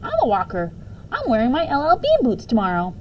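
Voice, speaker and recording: A woman voicing eager, excitable second-grade children piping up in a storybook read-aloud. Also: fairly high pitch, fairly quick, slight background noise, pitched above the performer's own voice. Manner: piping up, calling out.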